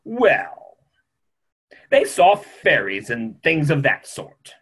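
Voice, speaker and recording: Grumpy, opinionated older male doctor played by a man, theatrical, emphatic, very animated, slightly high pitch. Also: drawn-out words, breathy edge, full character voice. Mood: confiding. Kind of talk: theatrical play reading. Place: small home room on a video call.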